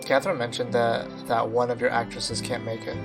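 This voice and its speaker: A woman's voice: rumbling low, little pitch movement, a little fast, gravelly, over music. A woman streamer giving casual commentary while playing a game, hesitant and rambling in the way she talks.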